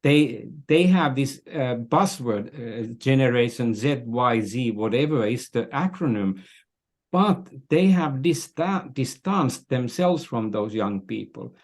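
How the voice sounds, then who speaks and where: Slow-spoken older Finnish-accented man. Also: medium pitch, lively intonation. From Finland. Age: 60s. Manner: measured, halting phrasing. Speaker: podcast guest, business coach. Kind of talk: thoughtful interview talk.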